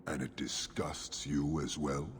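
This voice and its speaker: A man playing a stern, contemptuous giant horned warrior commander in a video game, speaking in measured, formal pronouncements with a gravelly voice. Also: extremely low pitch, little pitch movement, a little hiss or hum. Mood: grave contempt. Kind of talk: video game cutscene acting.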